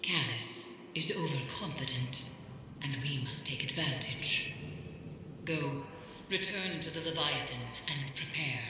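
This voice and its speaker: A woman playing a grave sci-fi mission strategist in a video game, speaking in a measured, formal, ominous way. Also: low voice. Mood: solemn urgency. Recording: long echoey reverb, background noise.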